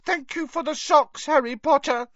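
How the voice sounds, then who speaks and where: A high, earnest little male house elf, half-whispering and eager to please. He sounds devoted and anxious. The recording is dry and slightly muffled. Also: normal speed, fairly bright tone, no room sound.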